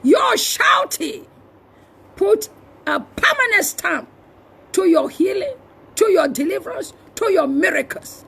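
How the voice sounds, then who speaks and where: A woman with a Nigerian accent preaching a fiery charismatic sermon, forcefully exhorting and often shouting, with emphatic repeated phrases. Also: very high-pitched, big pitch swings, slow pace, creaky, pauses often. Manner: shouting delivery. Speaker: preacher and prophet.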